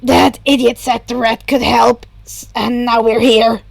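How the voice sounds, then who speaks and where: A woman's voice: fairly high pitch, level tone, a little slow, vocal fry, no room sound. A woman voicing a sardonic, grumbling young male villain in a sarcastic, dismissive way for a story.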